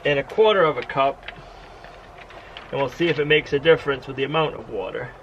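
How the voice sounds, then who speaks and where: Man, casual vlog narration, chatty, step-by-step explaining, average pitch, faint background noise. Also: brisk, dull audio. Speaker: homestead vlogger. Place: outdoors on a farm in winter.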